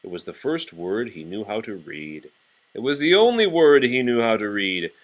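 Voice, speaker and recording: A man reading a children's storybook aloud in an animated, expressive style. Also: fairly low pitch, moderate pace, low-quality recording. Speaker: story reader.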